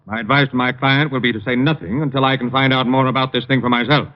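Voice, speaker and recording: An actor playing a haughty, indignant lawyer in a 1940s radio detective drama, speaking in a stiffly formal, pompous way. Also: male voice, mid-range voice, steady tone, quick pace, lightly acted. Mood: haughty, threatening. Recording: muffled old radio recording.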